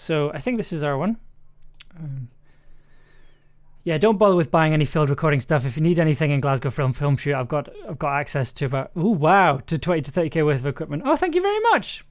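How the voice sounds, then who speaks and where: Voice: medium pitch, expressive, fast. A streamer chatting casually and informally while editing audio, thinking aloud into a desk microphone. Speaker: streamer, audio drama producer. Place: indoors at a computer desk.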